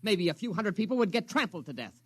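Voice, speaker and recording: High-pitched, scornful angry man. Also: big pitch swings, fast talker, breathy edge, dry recording, heavily acted. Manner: sarcastic, scolding, barking. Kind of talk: 1950s radio drama acting. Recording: 1950s radio drama recording.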